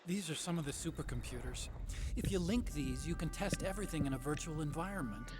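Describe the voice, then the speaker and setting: An idealistic young American engineer in a video game drama acted out by a man. His voice sits fairly high and carries guilt and nerves in every halting, earnest phrase. Prominent music plays behind him. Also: expressive, natural voice. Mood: earnest explaining. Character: earnest, guilt-ridden male weapons engineer, nervous and idealistic.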